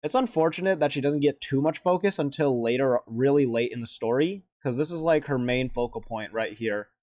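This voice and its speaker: A man's voice: medium pitch, fairly quick, a little mellow, no room sound. A man hosts a reaction video in a loose, conversational way. He rambles and hesitates, working out his thoughts as he goes. The sound is dry, with hardly any room in it. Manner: rambling, hesitant, thinking aloud. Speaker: reaction video host, vtuber. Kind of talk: casual off-the-cuff reaction commentary.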